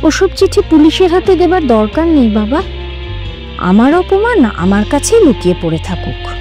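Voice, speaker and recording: A woman's voice: high-pitched, very animated, normal speed, mellow. A woman voicing a lovelorn, wounded young woman in an audio story, pleading dramatically over music. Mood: hurt, resigned. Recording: music under the voice.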